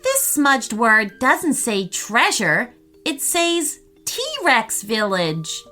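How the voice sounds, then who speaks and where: Helpful little boy adventurer for a storybook, read by a woman, courteous, high and animated. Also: extremely slow, soft background music, exaggerated voice. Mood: polite, earnest. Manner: polite, earnest.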